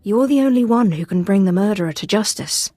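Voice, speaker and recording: An actress playing a cool, commanding Sith acolyte interrogating someone in a video game, controlled, clipped and authoritative. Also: female voice, mid-range voice, lively intonation, brisk, dark tone, no echo, studio quality, faint music behind, natural voice. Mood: menacing.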